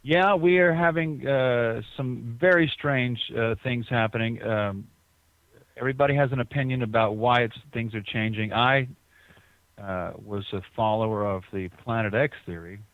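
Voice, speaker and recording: A man's voice: mid-range voice, normal speed, dry recording. A man being interviewed as a guest over the phone, rambling with frequent restarts and repeated words. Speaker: guest artist. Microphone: telephone. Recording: tinny phone line, dry.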